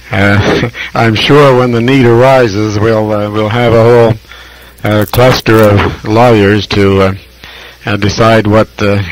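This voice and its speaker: An American man chatting casually as a ham radio operator, speaking in a halting, deliberate, reflective way with long pauses over shortwave radio. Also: fairly low pitch, rough recording. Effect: shortwave radio transmission.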